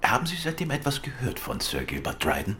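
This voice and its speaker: An actor playing a composed, dryly witty gentleman detective in a German radio crime drama, polite and probing. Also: mid-range voice, huge pitch swings, brisk, a little hiss or hum. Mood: probing, calm.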